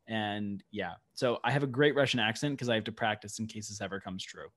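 A man's voice: on the low side, a little fast, a bit bright. A young man co-hosting a podcast in casual chat, telling rambling stories. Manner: chatty, rambling storyteller. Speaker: podcast co-host.

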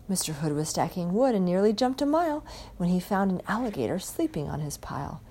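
A woman reading a rhyming picture book aloud in an expressive, dramatic way. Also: on the low side, fairly quick. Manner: dramatic, animated delivery. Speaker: storybook reader.

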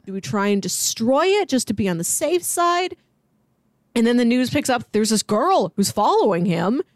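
Earnest woman with a higher-pitched voice. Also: very animated, normal speed, no room sound, studio quality. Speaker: podcast co-host. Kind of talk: casual film discussion podcast.

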